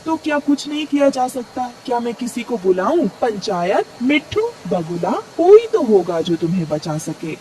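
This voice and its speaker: A woman's voice: a little high, big pitch swings, moderate pace, hardly any pauses, mellow, recorded from a distance, poor audio. Woman as an anxious, pleading little mother bird. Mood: distressed. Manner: pleading, distressed. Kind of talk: cartoon voice acting.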